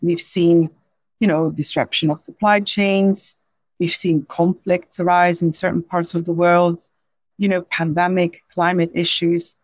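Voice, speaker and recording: A woman's voice: lowish voice, flat delivery, measured pace, no echo. A woman in her forties from Belgium with a Belgian accent, not a native English speaker, answering interview questions in a thoughtful way, often restarting and adding "right?". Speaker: podcast guest, insurance executive.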